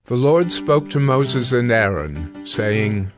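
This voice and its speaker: A man announcing chapter headings in a slow, deliberate, formal way. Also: mid-range voice, very animated, music in the background.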